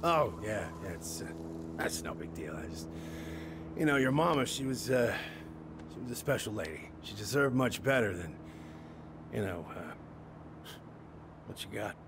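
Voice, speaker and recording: A gravelly, low-voiced small-town handyman. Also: animated, background noise. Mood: bashful, fond. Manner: hesitant, halting, folksy. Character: awkward small-town handyman, middle-aged, well-meaning and wistful. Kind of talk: naturalistic video game acting.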